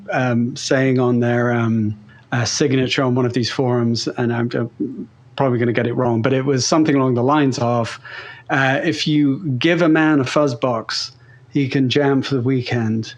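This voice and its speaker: A man's voice: mid-range voice, fairly even intonation, normal speed. A man with a mixed British and Australian-sounding accent, a guest talking casually in an interview, rambling with many fillers and restarts. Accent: neutral British-Australian blend. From England. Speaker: guest pedal builder.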